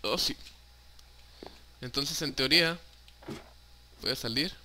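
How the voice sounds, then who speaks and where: Young Mexican guy, let's-player; mid pitch, bright and crisp, loose and jokey, some hiss on the track. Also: slow. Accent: Mexican Spanish. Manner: chatty, joking, swears casually, mixes in English words. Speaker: gaming YouTuber. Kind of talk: casual Minecraft let's play commentary.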